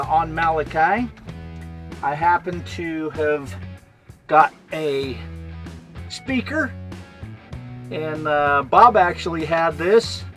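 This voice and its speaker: A middle-aged American man narrating a hands-on vlog in a casual, unhurried way, thinking aloud as he goes. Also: on the high side, fairly bright tone. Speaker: vlogger showing a vehicle radio installation.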